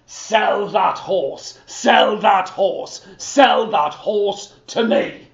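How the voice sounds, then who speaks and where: A man's voice: a little high, animated, takes it slowly, roomy sound, highs cut off. A man voicing a greedy, demanding male king in a fairy tale, with a pompous manner.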